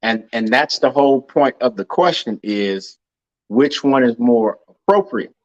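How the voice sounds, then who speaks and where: A man's voice: mid-range voice, expressive, measured pace, a little mellow, dry recording, muffled recording. A man hosting a casual discussion over a call, earnest and often repeating words as he thinks. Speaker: podcast host.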